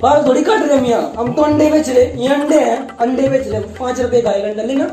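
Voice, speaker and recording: A man's voice: very high-pitched, steady tone, brisk, echoey room, over music. A young man playing a brash barber in a comic sketch, bossing and stalling his customers in a small shop. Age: young adult.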